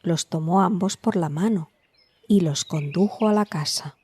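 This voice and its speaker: A woman narrating a scripted fairy tale as a storyteller, in a measured, dramatic way. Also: lowish voice, a little mellow.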